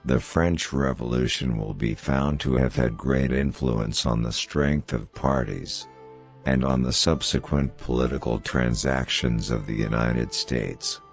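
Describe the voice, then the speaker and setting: Really deep gravelly guy narrating quotes, formal and measured, bit of music behind him. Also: steady tone. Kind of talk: scripted narration of famous quotations. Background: background music.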